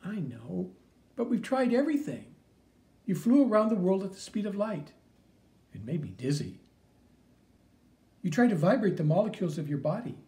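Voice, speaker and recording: A man's voice: high-pitched, very animated, normal speed, pauses often, warm tone, pitched above the performer's own voice. A man reading an audiobook, voicing a young boy who hesitates and hedges when he is put on the spot. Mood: exasperated. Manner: hesitant, fibbing, hedging. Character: young boy, nervous and evasive.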